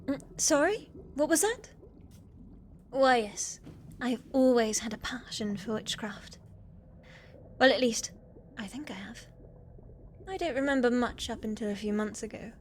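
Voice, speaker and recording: A woman playing a shy, eager young witch's apprentice in a fantasy ASMR roleplay, soft and hesitant, often slipping toward a whisper. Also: a little high, measured pace, pauses often, a little hiss or hum, natural voice. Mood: friendly, unsure.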